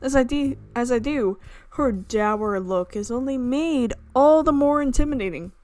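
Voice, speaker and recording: A woman's voice: sits high, measured pace, a little mellow. A woman reading a visual novel aloud as a let's player, narrating dramatically and slipping in casual asides. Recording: music playing behind the voice.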